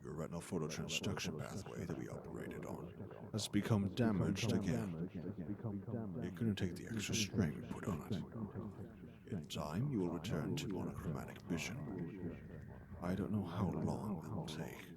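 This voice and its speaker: Grave male doctor for a film drama, low voice, formal and apologetic, played by a man, under a loud background din. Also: animated, a loud crowd. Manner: measured, formal, explanatory. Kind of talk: short film drama acting.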